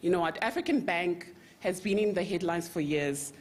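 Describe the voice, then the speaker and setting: A woman from Zambia giving a friendly, lively speech to a conference audience as a bank representative. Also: fairly low pitch, moderate pace. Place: conference hall.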